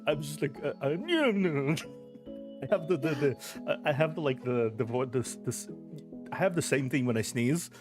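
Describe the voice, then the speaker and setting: A man hosting a casual stream chat, telling stories with animated delivery, acting out sounds, and laughing a lot. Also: on the high side, moderate pace, over music. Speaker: host.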